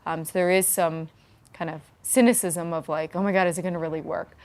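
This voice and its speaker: A woman's voice: lowish voice, fairly even intonation, a little fast, darkish tone. A woman answering interview questions as a company founder, in an informal, explanatory conversational manner. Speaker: startup founder being interviewed.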